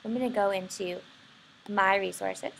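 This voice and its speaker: An American woman narrating a software tutorial in an informal, explanatory way. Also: mid-range voice, steady tone, normal speed, creaky, a little hiss or hum, poor audio. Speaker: software instructor.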